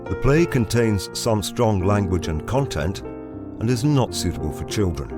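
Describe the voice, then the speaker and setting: Low-voiced man announcing over loud piano. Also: lively intonation, a little slow. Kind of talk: scripted podcast introduction. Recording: loud piano music behind voice. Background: piano music.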